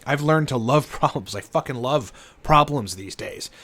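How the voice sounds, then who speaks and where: A man's voice: mid-range voice, lively intonation, a little slow, fairly bright tone. Rambling, cursing man in his late thirties. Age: 30s. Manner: rambling, profane, fillers like "you know" and "like". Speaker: channel host, entrepreneur. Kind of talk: casual unscripted monologue to camera.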